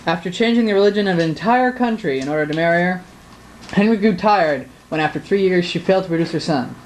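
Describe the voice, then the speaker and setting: A man's voice: high-pitched, quick pace. Mock-serious teenage boy narrator. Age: teens. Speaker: narrator and interviewer. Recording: distant mic, noisy room.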